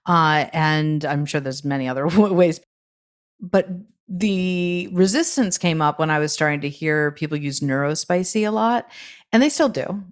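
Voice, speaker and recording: A woman's voice: low voice, moderate pace. A middle-aged woman talking casually and reflectively as a podcast guest, working through her ideas in loosely linked phrases. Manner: thoughtful, thinking aloud in loosely linked phrases. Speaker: podcast guest, neurodiversity advocate. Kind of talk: casual reflective interview talk.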